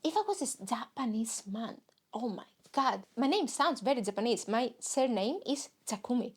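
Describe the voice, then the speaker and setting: A woman's voice: a little high, expressive, normal speed, slightly rough. A young woman from Greece with a Greek accent, hosting a podcast in a rambling, self-mocking comic monologue. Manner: rambling, self-mocking, jumps into silly voices. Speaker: podcast host.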